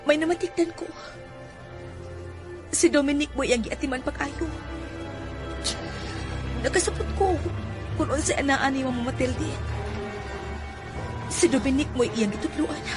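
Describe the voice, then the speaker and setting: A woman's voice: sits high, lightly acted. A woman acting as a worried young daughter in a horror audio drama, with a Filipino accent and an earnest, confiding manner. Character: worried young daughter, uneasy about her mother. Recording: music behind the dialogue.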